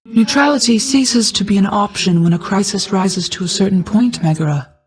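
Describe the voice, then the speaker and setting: A woman voicing an ancient, stately goddess of night in a video game, a stern but caring mother who speaks in a formal, measured, regal way. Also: mid-range voice, natural voice. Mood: cold, commanding.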